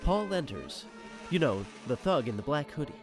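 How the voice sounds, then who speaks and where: A man playing a chatty insider who narrates a high school comedy, confiding directly in the listener. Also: very animated, moderate pace. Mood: wry, animated. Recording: music behind the voice.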